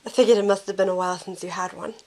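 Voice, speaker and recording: A woman playing a caring, reserved young woman in an amateur audio drama, soft-spoken and reassuring. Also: mid-range voice, steady tone, racing, lightly acted, rough recording. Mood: warm, casual.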